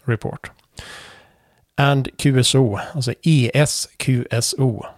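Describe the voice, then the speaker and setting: A man calmly explaining Morse code conventions in a casual tutorial, pausing often with fillers and restarts. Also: mid-range voice, no echo, studio quality. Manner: relaxed, hesitant with frequent fillers and restarts. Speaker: amateur radio instructor.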